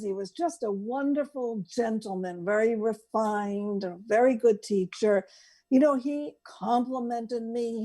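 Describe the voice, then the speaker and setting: Slow-talking woman telling personal stories. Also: on the high side, dark tone. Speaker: co-host. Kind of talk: casual personal storytelling.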